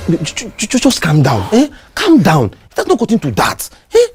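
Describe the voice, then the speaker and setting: A man's voice: sits high, huge pitch swings, moderate pace, slightly rough, no echo, clean studio sound, faint music behind, full character voice. A man with a Nigerian accent playing a cowardly, wheedling neighbour in a comedy skit, stammering and repeating himself to placate. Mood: placating.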